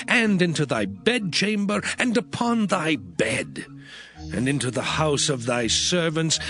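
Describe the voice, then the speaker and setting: Moses, a stern, slow, sweeping prophet. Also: male voice, a little high, fairly bright tone, full character voice. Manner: solemn, emphatic declamation. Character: Moses, stern commanding prophet delivering God's warnings. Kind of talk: dramatized scripture acting.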